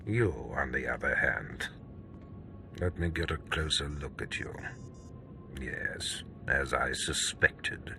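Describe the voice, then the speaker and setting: Stern game villain, commanding master, deep, measured and slow, contemptuous, imperious. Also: male voice, gravelly, music in the background, full character voice. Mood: cold disdain. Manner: imperious, deliberate, clipped pronouncements. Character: stern, contemptuous Sith overseer, a cold commanding male master. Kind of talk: video game cutscene acting.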